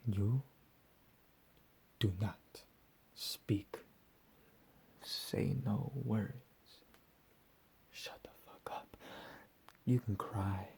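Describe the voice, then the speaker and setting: A man playing a deranged, menacing captor in a whispered ASMR roleplay, profane and slow with drawn-out emphasis. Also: fairly low pitch, steady tone, a little mellow, slightly rough, heavily acted. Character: deranged menacing male captor, recently escaped asylum.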